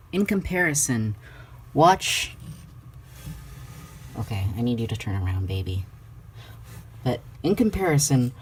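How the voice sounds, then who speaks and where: Casual home vlogger, playful, sweary and cooing, with some background noise in a handheld recording. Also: medium pitch. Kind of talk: casual chat to camera. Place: indoors at home.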